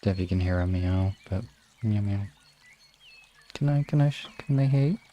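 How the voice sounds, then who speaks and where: A young man chatting casually on a livestream in a rambling way, sometimes slipping into soft baby talk. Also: lowish voice, normal speed, lo-fi sound, slight background noise. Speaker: live streamer.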